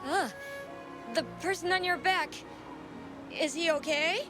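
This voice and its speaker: A woman's voice: extremely high pitch, steady tone, measured pace. An actress playing an eager, deferential young townswoman in a video game, hesitant and earnest. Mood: flustered, deferential. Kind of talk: scripted game dialogue. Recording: game music behind voice.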